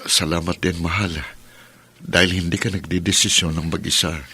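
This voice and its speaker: A man's voice: sits low, little pitch movement, moderate pace, pushed and bright, husky, exaggerated voice. An actor playing a devoted, easygoing young husband and father in a Tagalog radio drama. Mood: tender, agreeable.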